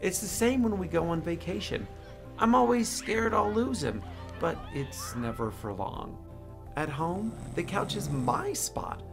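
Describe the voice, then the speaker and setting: A man reading aloud as a gentle young male dog who tells about his boy, in a soft, calm storybook voice. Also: high-pitched, unhurried, gravelly, music in the background, voice pushed up, exaggerated voice. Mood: tender. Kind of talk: children's book read-aloud.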